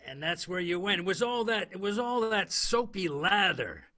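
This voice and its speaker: Male actor, 1920s police detective, war veteran, nostalgic, halting, lively swooping pitch, sharp bright tone, improvised radio comedy. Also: exaggerated voice. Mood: wistful, nostalgic.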